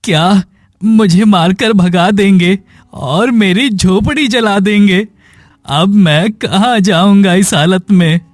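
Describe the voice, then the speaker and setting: A man playing a poor, sick village man in a cartoon moral story, sorrowful, gentle and pleading. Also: high-pitched, slow pace, no echo, clean studio sound. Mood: distressed. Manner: plaintive, suffering.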